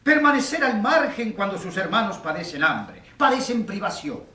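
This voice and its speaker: An actor playing a stubborn man from the provinces who pleads for an accused priest in a radio drama, halting and insistent. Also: mid-range voice, big pitch swings, very noisy, close to an everyday voice. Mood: indignant, pleading.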